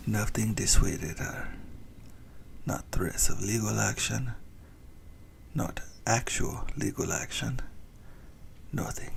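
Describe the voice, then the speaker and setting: A man voicing an obsessive, doting vampire in an intimate ASMR roleplay, with a breathy whisper and theatrical, adoring relish. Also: on the low side, exaggerated voice. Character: obsessive lovesick male yandere vampire, doting, gleefully indulgent.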